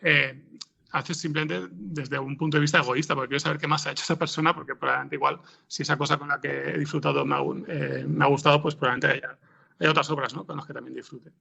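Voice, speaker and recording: A man with a Spanish accent from Spain and a very creaky, fry-laden voice. He talks off the cuff as an interview guest, bright in tone, circling and repeating himself as he searches for words. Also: on the high side, steady tone, fast talker. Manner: hesitant, rambling, with frequent repeated words and tag questions. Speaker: interview guest, podcast scriptwriter. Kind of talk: unscripted interview answers.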